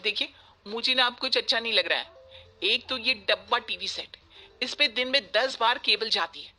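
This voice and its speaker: A woman's voice: fairly high pitch, moderate pace, sharp tone. A woman voicing a spoiled, demanding young city bride in a story cartoon, whiny and petulant, dropping in English words. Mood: whining. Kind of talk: Hindi moral story cartoon voice acting. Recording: music bed behind the voice.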